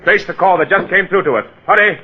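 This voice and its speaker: An actor playing an edgy police detective in a 1940s radio drama, brisk, clipped and commanding. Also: male voice, sits high, slight background noise, natural voice. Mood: urgent. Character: urgent, edgy male police detective taking charge. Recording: muffled old-time radio recording.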